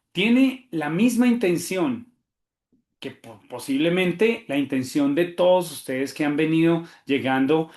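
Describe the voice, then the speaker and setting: A man with a Colombian accent hosts an online talk, his voice sitting a little high as he rushes along with fillers and self-corrections. He sounds like he is on a computer mic in a room with noticeable echo. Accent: Colombian Spanish. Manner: quick, animated talk with many fillers and restarts. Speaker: theology professor hosting a webinar. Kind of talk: webinar presentation.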